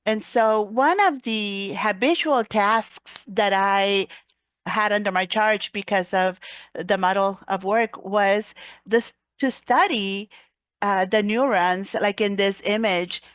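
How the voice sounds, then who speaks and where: Halting woman interpreting a talk. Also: medium pitch, a bit bright, clean tone, dry recording. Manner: halting, frequent fillers and restarts. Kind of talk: simultaneous interpretation of a scientific talk.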